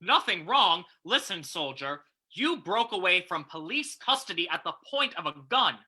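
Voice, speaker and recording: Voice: medium pitch, big pitch swings, moderate pace, bright, forceful tone, husky, heavily acted. An actor playing a stern, self-assured police commissioner in an old-time radio drama, speaking emphatically and with authority. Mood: stern, accusing.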